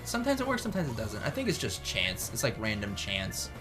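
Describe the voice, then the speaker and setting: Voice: mid-range voice, huge pitch swings, fast, music in the background. A gaming show co-host chatting casually and jokingly, with a giggly, goofy manner and a habit of silly sounds. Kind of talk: casual joking let's play chat.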